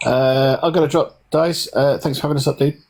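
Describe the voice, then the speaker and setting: A man's voice: medium pitch, steady tone, darkish tone, a little hiss or hum. A man speaking hesitantly, with many fillers and restarts, as a guest in a live stream chat. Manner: hesitant, halting.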